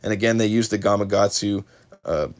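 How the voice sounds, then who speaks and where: A fairly low-voiced man with a drawl talks through tackle on a livestream, chatting casually with viewers and correcting himself often. His voice sounds slightly worn, as if he is losing it a bit. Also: a little fast. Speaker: fishing livestream host. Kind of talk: casual live unboxing chat.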